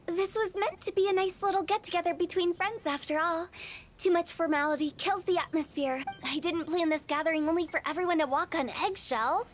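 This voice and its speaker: A very high, girlish video game character. This teenager is playful, theatrical and boastful, chattering with showy flair over faint noise. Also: fairly quick. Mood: warm, theatrical. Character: playful theatrical teenage girl, cheeky funeral parlor director.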